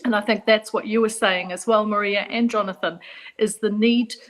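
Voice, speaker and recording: A middle-aged woman with a New Zealand accent, a psychologist speaking as a guest on a radio panel in a measured, reflective way. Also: medium pitch, steady tone, a little hiss or hum. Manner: measured, reflective, repeats points for emphasis.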